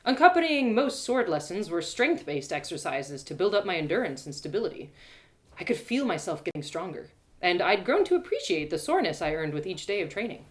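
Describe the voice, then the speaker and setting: A woman narrating a fantasy tale dramatically in the first person, with a theatrical, expressive storyteller's manner. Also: on the low side, a little fast. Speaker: storyteller and host.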